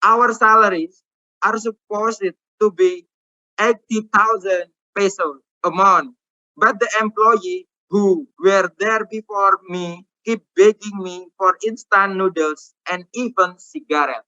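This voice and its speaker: Indonesian guy reading out his testimony, bright forceful voice, halting, dry kinda dull audio. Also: high voice, no echo. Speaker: witness giving testimony. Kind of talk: reading a prepared statement aloud. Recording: dull, slightly muffled audio.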